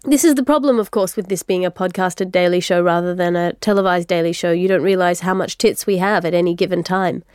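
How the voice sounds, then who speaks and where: A woman hosting a comedy podcast, reading satirical news items and trading jokes with a guest in a deadpan, dry way. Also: lowish voice, brisk, smooth, dry recording. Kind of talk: comedic scripted news segments.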